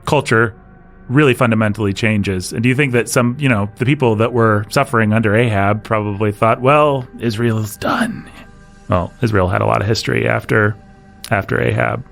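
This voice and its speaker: Male podcast host, low register, easygoing conversational delivery over soft background music. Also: fairly even intonation, moderate pace, gravelly. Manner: conversational, laughs while talking. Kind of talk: casual discussion.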